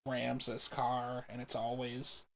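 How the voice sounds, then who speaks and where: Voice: mid-range voice, completely flat delivery, slow pace, vocal fry, slight background noise. A game streamer chatting casually and commentating for a livestream, with music underneath. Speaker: game streamer and fandub host.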